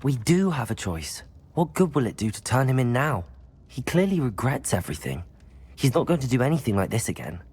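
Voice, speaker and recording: A man's voice: mid-range voice, big pitch swings, a little mellow, slightly rough. A man voicing a level-headed, supportive young student wizard with a British accent in a video game. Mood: earnest persuasion. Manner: measured, reassuring. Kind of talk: video game cutscene dialogue.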